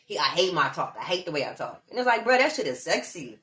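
A woman from Omaha, a laughter yoga teacher, chatting casually in an animated, slangy way. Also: fairly low pitch, quick pace, slight background noise. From Omaha, Nebraska. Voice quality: animated, slangy, spiritual talk with bursts of laughter. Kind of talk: casual chat.

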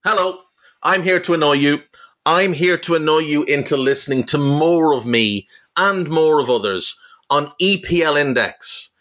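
A man's voice: on the high side, moderate pace, sharp tone. A man podcaster delivering a casual promo, speaking straight to the listener. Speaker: podcaster promoting his network. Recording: dull, lo-fi audio.

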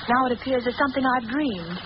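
A woman acts a refined, sensitive young heroine of an old radio thriller. Her pitch is high and moves widely in an emotive style. The sound is dull and buried in loud noise. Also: lively intonation, fast, close to an everyday voice. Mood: calm relief. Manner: emotive, melodramatic, genteel. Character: sensitive, refined young lady companion to a crime-fighter. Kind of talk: 1940s radio acting. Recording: muffled, very noisy old radio recording.

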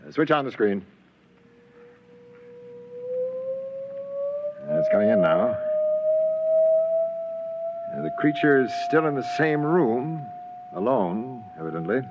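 An actor playing a driven, authoritarian alien commander in a clipped, theatrical 1950s radio drama style. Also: male voice, mid-range voice, expressive, measured pace, long pauses, highs cut off, loud music behind the voice, natural voice. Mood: calm, intent. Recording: noisy old radio recording.

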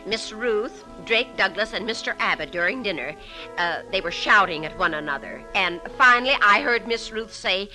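An actress playing an earnest household maid giving emphatic testimony in an old radio drama. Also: female voice, medium pitch, lively intonation, normal speed, pushed and bright, low-quality recording. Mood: indignant. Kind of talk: old-time radio acting. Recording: old radio recording with music behind.

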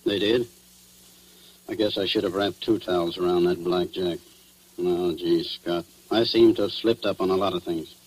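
Low-voiced crooked cop, played by a man, old radio drama. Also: fairly even intonation, normal speed, darkish tone, natural voice. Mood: resigned. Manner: slangy tough-guy talk. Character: crooked male cop confessing to murder, slangy, cynical. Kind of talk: 1940s radio drama acting. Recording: noisy, humming 1940s radio recording.

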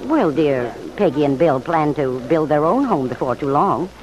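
An actress playing a kindly, proud older mother in an old-time radio serial, speaking gently and unhurriedly. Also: sits low, expressive, moderate pace, lo-fi sound. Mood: warm, fond. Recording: noisy vintage radio broadcast.

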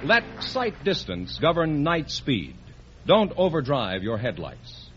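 A man announcing on a 1950s radio quiz show in a crisp, formal manner. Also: fairly high pitch, wildly swooping pitch, slow pace, faint music behind. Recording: dull old radio broadcast.

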